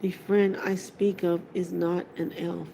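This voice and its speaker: Courteous storybook elf, male female reader, earnest and measured, some creak, faintly noisy recording. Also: fairly low pitch, flat delivery, warm tone. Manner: formal, earnest.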